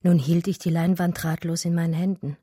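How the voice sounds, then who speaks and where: An actress playing an educated art historian who recounts her love story in a radio drama, measured and eloquent. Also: fairly low pitch, fairly even intonation, dark tone, husky, no echo, clean studio sound. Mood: reflective narrating. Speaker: narrator and lead character. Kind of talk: literary radio drama narration.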